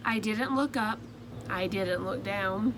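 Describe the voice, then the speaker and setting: A woman reading the part of a timid male egg who tells his own story in soft, earnest first-person storybook narration. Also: mid-range voice, slow pace, noisy background, close to an everyday voice. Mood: nervous.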